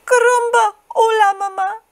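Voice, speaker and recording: A woman's voice: very high voice, steady tone, normal speed, voice pushed up. A woman voicing eager, needy newly hatched baby birds in a storybook, pleading with repeated words. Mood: excited.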